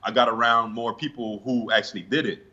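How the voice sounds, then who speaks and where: A young man from Guyana answering interview questions in a relaxed, laid-back way with hesitant fillers. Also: mid-range voice, brisk, breathy edge, dull audio. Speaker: interview guest, bodybuilder.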